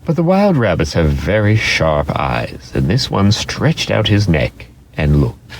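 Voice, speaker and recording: A man narrates a storybook with great drama and very wide swings of pitch. His low voice has a gravelly creak, and his delivery is deliberate. There is faint noise in the background. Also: big pitch swings, poor audio.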